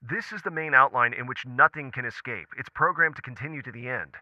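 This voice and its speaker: A man playing a tense, procedural technical controller in a sci-fi audio drama, with a crisp, announcing delivery. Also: medium pitch, fairly quick, no room sound, dull audio, natural voice. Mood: defensive, urgent. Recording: dry, band-limited radio-like sound.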